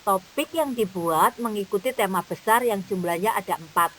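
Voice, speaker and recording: A woman's voice: mid-range voice, a bit bright, low-quality recording. A woman who teaches kindergarten, patiently explaining a curriculum to fellow teachers. She repeats her points and keeps checking that they follow. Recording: faint hiss.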